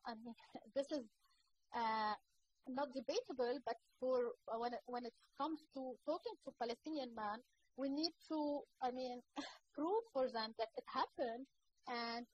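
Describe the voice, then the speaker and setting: Panel guest: woman, 30s, Lebanese accent, earnest, full of fillers and restarts, high voice, muffled laptop-mic audio. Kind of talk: panel discussion over video call. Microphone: laptop microphone on video call. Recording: muffled video-call audio with faint noise.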